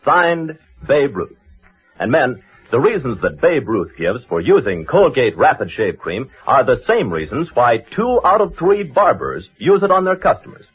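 A male American announcer delivers a 1940s radio commercial with punchy salesman emphasis. His voice is very husky and leaps around dramatically in pitch. The vintage recording is tinny and narrow. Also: very animated, a little slow.

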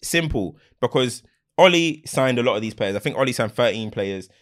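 Blunt, rapid man. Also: medium pitch, animated. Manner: blunt, opinionated, slangy. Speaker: podcast co-host. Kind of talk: casual football banter.

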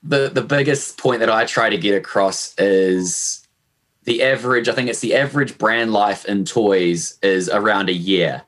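A young man with a New Zealand accent in his late twenties, a podcast guest answering questions in a relaxed, easygoing way, often hedging with fillers. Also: fairly low pitch, unhurried. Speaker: podcast guest, e-commerce manager. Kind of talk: casual interview answers.

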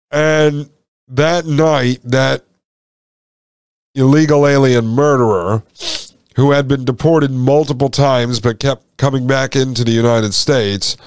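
A man's voice: mid-range voice, clean tone, no echo, clean studio sound. Stuffed-up man hosting a podcast. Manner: chatty, addresses listeners as folks. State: stuffed up with a slight cold. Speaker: podcast host. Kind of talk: casual podcast monologue.

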